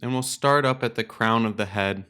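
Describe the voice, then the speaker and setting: A man leading a guided meditation as a teacher, speaking in a calm, gentle, unhurried way with pauses. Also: mid-range voice, clear, resonant tone. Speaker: meditation teacher and podcast host. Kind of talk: guided meditation, slow and instructional.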